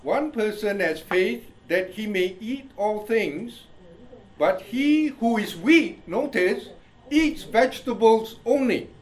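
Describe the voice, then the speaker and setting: A man with an Indian accent preaching a sermon as pastor. He reads scripture slowly and keeps checking in with "you see?" and "all right?", often chuckling. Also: sits high, animated. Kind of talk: sermon, Bible teaching.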